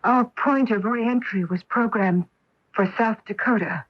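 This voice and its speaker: Husky, awestruck astronaut wife. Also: female voice, on the high side, a little slow. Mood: puzzled. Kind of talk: 1980s radio drama acting. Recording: dull lo-fi AM radio broadcast.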